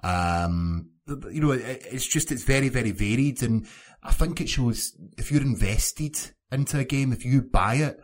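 A man's voice: medium pitch, normal speed. A man chatting casually as a panellist on a gaming podcast. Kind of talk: casual podcast chat about video games.

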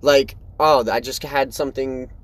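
A man of twenty talking casually and candidly, hosting his own solo podcast in a rambling, choppy way. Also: a little slow. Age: 20s. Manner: rambling, candid, animated swings in pitch. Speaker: podcast host.

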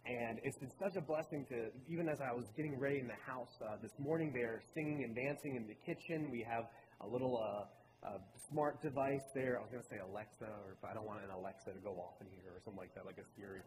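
A man's voice: medium pitch, fast. A man in his late twenties from Baltimore, giving a personal talk to a church in an earnest, conversational storytelling way. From Baltimore, Maryland. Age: late 20s. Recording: reverberant hall with clear room noise.

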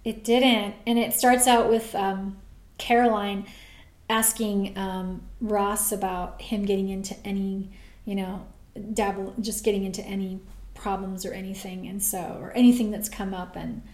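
A woman co-hosting a casual chat, animated and laughing often as she recaps a TV episode. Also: mid-range voice, moderate pace. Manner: animated, laughs often, acts out quoted lines. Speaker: podcast co-host. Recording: roomy echoey sound.

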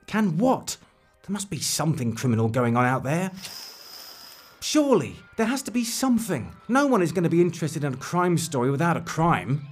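An actor in a dark comedy audio drama playing a self-important, fretful amateur crime blogger who dictates theatrically. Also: male voice, medium pitch, slightly rough. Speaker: narrator.

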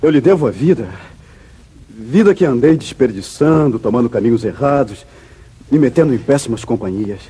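An actor playing an emotional, falsely accused wayward son in a 1950s Brazilian radio serial, with a Brazilian accent. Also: male voice, mid-range voice, expressive, moderate pace, dark tone, slightly rough. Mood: repentant, grateful. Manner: emotional, dramatic, earnest. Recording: muffled vintage radio drama recording.

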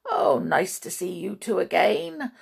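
A woman's voice: mid-range voice, sing-song, a little slow, vocal fry, husky, full character voice. A woman voicing a friendly, welcoming old male cat in a children's storybook. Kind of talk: children's storybook read aloud.

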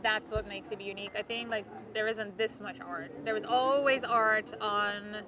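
A woman's voice: mid-range voice, steady tone, normal speed. A woman from Turkey co-hosting a podcast, chatting casually outdoors at a city restaurant and filling her talk with "like.". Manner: chatty, lots of "like" fillers. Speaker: podcast co-host. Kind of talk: casual chat. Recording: busy street crowd noise behind. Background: city street crowd and traffic.

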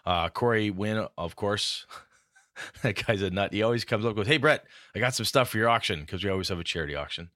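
A man co-hosting a gaming podcast in casual chat, telling animated stories and acting out what others said. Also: fairly low pitch, brisk, no room sound, clean studio sound. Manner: animated storyteller acting out quotes. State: a bit groggy. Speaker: podcast co-host.

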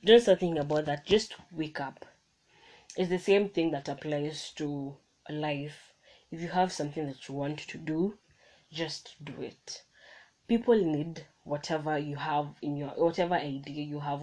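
A woman's voice: deep voice, moderate pace, a little mellow, rough recording. A young woman hosting her own podcast, talking through motivational points in a chatty way, often restarting her sentences, recorded on a phone. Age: young adult. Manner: chatty, self-correcting, motivational. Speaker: podcast host. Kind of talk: casual solo podcast monologue. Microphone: phone microphone.

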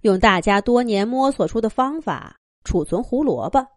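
A woman reads a fairy tale for children as its narrator. Her voice is somewhat high and very animated, and the recording is dry with no room sound. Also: normal speed, no room sound.

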